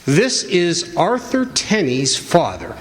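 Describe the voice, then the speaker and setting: A man's voice: sits high, very animated, slow pace, exaggerated voice. A man playing an earnest newspaper reporter, questioning and insistent, in a radio drama. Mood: pleading, insistent. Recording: echoey hall stage recording.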